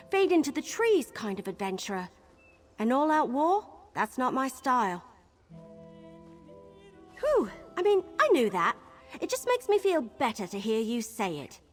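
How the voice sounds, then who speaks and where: A woman voicing a plucky, chatty young female archer in a video game, candid and earnest. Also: high voice. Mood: relieved, determined. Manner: chatty, self-deprecating, earnest. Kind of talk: video game character acting.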